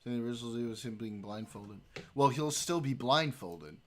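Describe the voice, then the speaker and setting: A man's voice: mid-range voice, expressive, moderate pace, a little hiss or hum. A man hosting a livestream, chatting casually with his chat while he plays a game. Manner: laid-back, talks to chat while playing. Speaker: livestream host.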